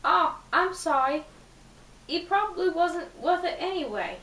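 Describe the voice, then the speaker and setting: A woman's voice: sits high, expressive, normal speed, a bit bright, voice pushed up. A woman voicing an awkward, polite young man in a romance story, hesitant and rambling. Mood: gently curious. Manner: hesitant, rambling, repeats himself. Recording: distant mic, roomy echo, faint noise.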